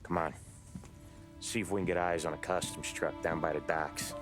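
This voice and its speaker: An actor playing a dry, pragmatic American mobster with a hard-bitten manner in a video game drama. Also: male voice, low voice, little pitch movement, moderate pace, over music, lightly acted. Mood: dry, businesslike.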